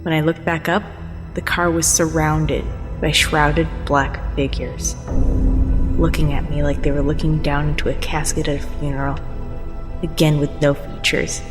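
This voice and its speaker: A woman's voice: sits low, little pitch movement, normal speed. A woman reading a scripted first-person horror story as its narrator. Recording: long reverb, clear music behind. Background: music.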